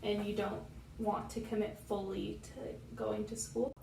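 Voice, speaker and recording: A woman's voice: mid-range voice, level tone, a little slow, creaky, a little mellow, echoey room, far from the mic, slight background noise, low-quality recording. A woman in her early twenties, a student, talking plainly and casually about her time in college. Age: 20s. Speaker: student interviewee. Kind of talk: casual chat.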